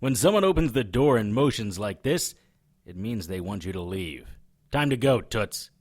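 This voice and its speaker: Sardonic hard-boiled investigator with lively intonation. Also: male voice, on the low side, moderate pace. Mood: dry, impatient. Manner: dry, wisecracking, sarcastic. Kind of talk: audio drama acting.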